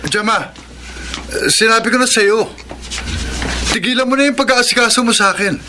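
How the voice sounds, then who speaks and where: May-edad na tsuper, mataas ang boses. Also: male voice, very high-pitched, normal speed, noisy background. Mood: irritated. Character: older male driver, servile to boss, scornfully blunt to admirer. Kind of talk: Filipino radio drama acting.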